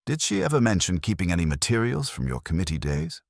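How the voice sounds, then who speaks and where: A man's voice: sits low, big pitch swings, fast talker, gravelly, no echo, studio quality, full character voice. A man playing a shrewd, courteous police inspector who questions tersely and dryly in a detective story. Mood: polite, probing. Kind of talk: audiobook detective mystery character reading.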